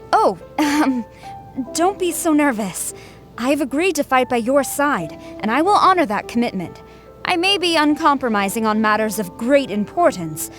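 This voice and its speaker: A woman voicing a stern, dutiful young general in a video game, with a formal, composed and resolute manner. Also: fairly high pitch. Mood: reassuring, slightly awkward. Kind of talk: scripted video game character voice lines.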